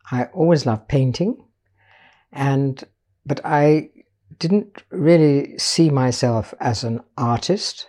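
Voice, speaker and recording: An older woman, raised in Portugal, telling her life story as an artist in a measured, patient interview manner. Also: deep voice, warm tone. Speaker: guest artist.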